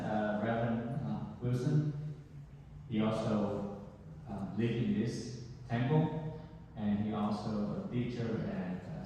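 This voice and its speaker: A man in his fifties with a Vietnamese accent, a visiting Buddhist monk giving a gentle, pausing dharma talk to high school students. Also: slight background noise. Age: 50s. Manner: slow, gentle, pausing with many "uh"s. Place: large temple hall. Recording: echoey hall, mic far off.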